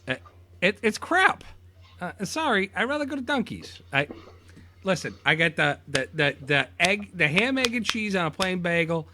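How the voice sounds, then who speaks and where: A young man chatting casually as a co-host, animated, swearing freely, full of fillers and restarts. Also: high-pitched, moderate pace, slight background noise. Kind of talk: casual chat.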